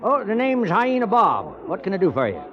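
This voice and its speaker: An actor playing a folksy, hospitable old stage station keeper, with a rustic Western American drawl in 1950s radio western style. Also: male voice, sits high, wildly swooping pitch, fairly quick, music in the background. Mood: chatty. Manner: rustic drawl, chuckling, colloquial. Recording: dull old radio recording.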